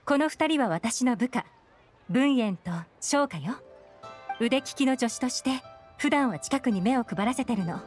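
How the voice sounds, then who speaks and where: A young female spymaster in a game, cool and composed. Her voice sits fairly high, and she gives orders with calm self-assurance over background music. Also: unhurried. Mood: knowing, assured.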